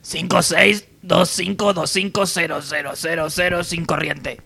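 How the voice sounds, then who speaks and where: A man playing a curt stranger on the telephone in a parody radio soap, croaky and raspy. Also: fairly high pitch, steady tone, a little slow, sharp tone, slight background noise, heavily acted. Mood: flat, curt.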